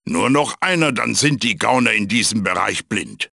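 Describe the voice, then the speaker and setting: A man voicing a wise, fussy magical tiki mask mentor in a video game, with a raspy, theatrical delivery. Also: lowish voice, animated, moderate pace, pushed and bright, a little hiss or hum, full character voice. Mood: instructive, emphatic. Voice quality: raspy, gravelly. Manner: theatrical, emphatic, swooping delivery. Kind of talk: German video game voice acting, tutorial hints and quips.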